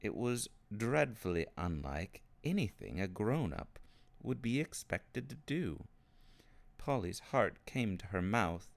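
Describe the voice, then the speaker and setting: A man reading children's fiction aloud as an expressive scripted narration. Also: low-pitched, gravelly. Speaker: storybook reader.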